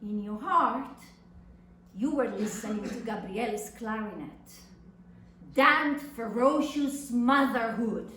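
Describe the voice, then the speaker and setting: A woman's voice: fairly high pitch, expressive, fairly bright tone, pitched below the performer's own voice. A woman performing a dramatic monologue as an anguished young adult daughter addressing her mother, with impassioned, theatrical delivery. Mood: agitated, accusing. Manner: impassioned, deliberate, theatrical delivery with long pauses. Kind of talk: dramatic literary monologue reading on stage. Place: reverberant indoor venue at a live reading. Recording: echoey room, distant mic, faint noise.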